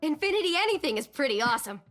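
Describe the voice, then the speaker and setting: A woman's voice: high voice, rapid-fire, soft background music. A woman playing a small, brash, reckless female yordle cannoneer who loves explosions, in video game voice lines. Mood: gung-ho. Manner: brash, gung-ho, excitable.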